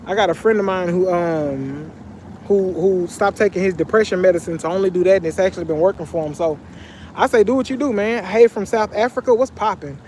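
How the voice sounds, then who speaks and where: A man's voice: high-pitched, brisk, a little mellow, a little hiss or hum. A young man from Texas chatting casually on a phone livestream by a pool, reading out comments in a loose, slangy way. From Texas, USA. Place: outdoors by a pool.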